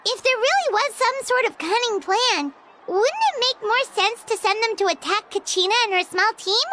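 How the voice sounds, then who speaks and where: A woman voicing a tiny, childlike fairy companion in a video game, squeaky, bubbly and chatty in a perky manner. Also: expressive, moderate pace, noisy background. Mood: puzzled. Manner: perky, talks of herself in third person. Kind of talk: video game character acting.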